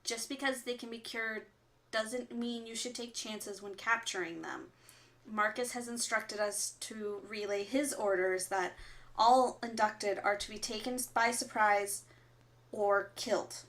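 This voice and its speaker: A woman playing a composed resistance announcer who relays orders in a measured, formal way on a fictional radio broadcast in an audio drama. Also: fairly high pitch, fairly even intonation, fairly bright tone. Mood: stern.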